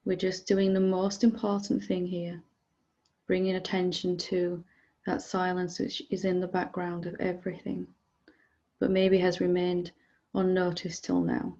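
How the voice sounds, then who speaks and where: A woman guides a meditation in a low, soft-edged voice with a mellow tone. She speaks calmly and gently, leaving space between phrases as she instructs. The recording is slightly dull and muffled. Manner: gentle, calm, unhurried with long pauses. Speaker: meditation teacher.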